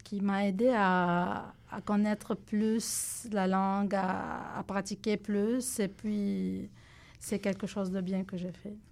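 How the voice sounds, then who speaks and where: Woman speaking halting French. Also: medium pitch, flat delivery, gravelly, darkish tone. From Lebanon. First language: Arabic. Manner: halting, searching for words in a second language. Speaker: guest. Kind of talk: radio interview answers.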